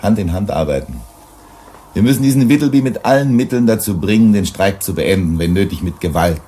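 Hard-nosed company chief for a sci-fi radio play: deep, gruff, dismissive. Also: male voice, lively intonation, fairly quick, mellow. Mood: insistent. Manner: gruff, dismissive, self-assured. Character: blunt hard-nosed mining company boss on Venus. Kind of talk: German radio play acting.

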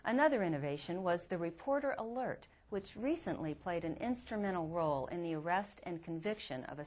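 Lady reporter, lowish voice, reading a scripted promo product story.

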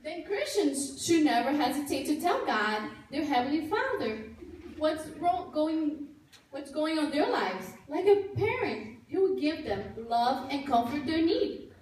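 A woman's voice: sits high, big pitch swings, measured pace, a little mellow, a little hiss or hum. A woman voicing a cheerful, helpful girl puppet in a church puppet show, explaining things patiently, recorded from far off in a very echoey hall. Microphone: far camera.

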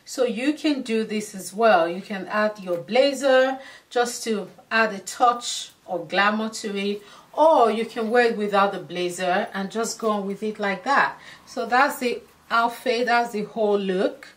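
A woman in her thirties talks viewers through outfits in her dressing room, calling them her darlings. She speaks slowly and easily at a middle pitch. Her voice is slightly hoarse, as if she is losing it. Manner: chatty, affectionate, addresses viewers as darlings. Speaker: fashion vlogger. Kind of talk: casual styling talk to camera.

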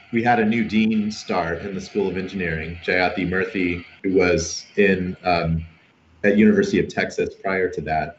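A middle-aged man with a very deep voice, scratchy from a cold, answers interview questions from home. He talks in a relaxed, modest way with many fillers. The audio is roomy and muffled, like a video call. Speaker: guest professor. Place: home room.

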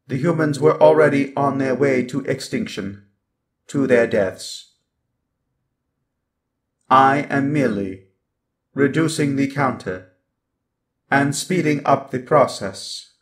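Man voicing a slow, glitchy evil robot. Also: medium pitch, lightly acted. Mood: calm, superior. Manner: deliberate, glitching stutters on words. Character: calm, menacing evil robot overlord, coldly superior. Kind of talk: scripted robot roleplay monologue.

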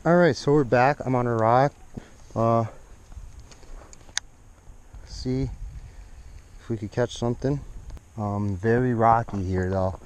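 A man's voice: medium pitch, takes it slowly, pauses often, darkish tone, slight background noise. A young man casually narrating his fishing trip on a rocky lakeshore, chatting to the fish and his viewers, recorded on an action camera. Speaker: fishing vlogger. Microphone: action camera, head-mounted and at arm's length.

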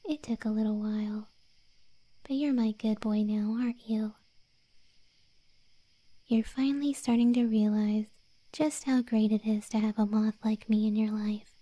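A woman voicing a possessive, obsessive moth girlfriend in an ASMR roleplay, sweetly menacing in slow, breathy, cooing whispers close to the microphone. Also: mid-range voice, fairly even intonation, mellow, dry recording, lightly acted. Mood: possessive, teasing. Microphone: close microphone, dry.

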